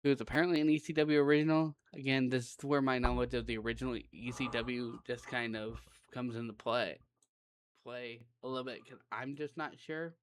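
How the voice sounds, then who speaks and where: A man with a raspy voice reviewing wrestling matches in a rambling, conversational way, often correcting himself mid-sentence. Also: mid-range voice, fairly even intonation, a little fast, a little hiss or hum. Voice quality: raspy right now. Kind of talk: casual solo show review.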